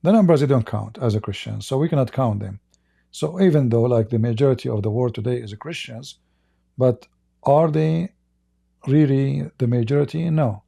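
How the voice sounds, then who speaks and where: A male host of a live call-in show, answering a caller in a firm, measured and emphatic way. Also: medium pitch, gravelly, warm tone, slightly rough.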